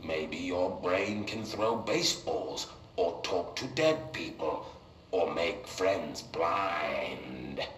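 A man's voice: low-pitched, extremely slow, a bit bright, exaggerated voice. A man playing an avuncular puppet-show host uncle, explaining things to a child in a patronizing singsong. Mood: patronizing cheer. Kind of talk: children's educational TV show acting. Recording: echoey room with faint noise.

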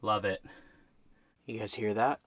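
A man in his thirties chatting casually to the camera while camping alone, relaxed and talkative. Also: lowish voice, unhurried, no echo. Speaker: vlogger. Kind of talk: casual solo camping vlog. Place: inside a small truck camper.

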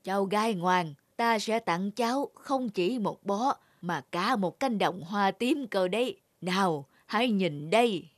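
An actor voicing a kindly old male mountain spirit in a children's cartoon, benevolent and unhurried. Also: high-pitched, expressive, slight background noise.

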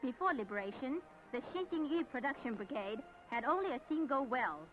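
A woman's voice: high-pitched, expressive, a little fast, over music. A woman reads formal, declamatory scripted narration for a 1970s propaganda documentary. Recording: tinny, band-limited, noisy 1970s film soundtrack.

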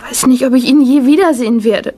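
A woman's voice: high voice, brisk, dark tone. A woman playing a distraught, fearful pregnant young actress in a radio drama. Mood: anxious, desperate. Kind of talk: German radio drama acting.

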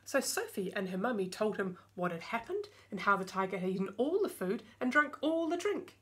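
A middle-aged woman, reading a storybook aloud to young children in an expressive way. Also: medium pitch, brisk. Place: small indoor room with bookshelves.

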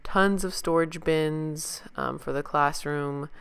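Low-pitched, smooth-voiced chatty woman. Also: slow pace, fairly bright tone. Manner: informal, enthusiastic. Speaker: vlogger, parent. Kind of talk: casual chatty shopping haul voice-over.

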